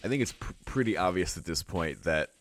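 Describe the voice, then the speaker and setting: Very low-voiced man reading and reacting. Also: lively intonation, fairly quick, faint music behind. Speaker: let's-play host reading a visual novel aloud and reacting. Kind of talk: dramatic read-aloud narration mixed with casual reaction commentary.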